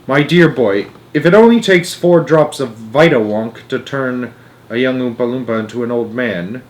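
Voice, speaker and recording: A man voicing an excitable, eccentric candy maker from a storybook, breezy and theatrical, with whimsical emphatic phrasing. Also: medium pitch, moderate pace, close to an everyday voice. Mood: matter-of-fact.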